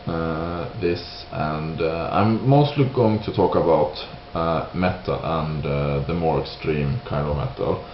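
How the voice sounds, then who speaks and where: A man from Sweden with a Swedish accent, giving an unscripted opinion monologue in halting English, hesitant and searching for words. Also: very deep voice. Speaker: video creator giving opinions. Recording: roomy, dull lo-fi sound with hiss.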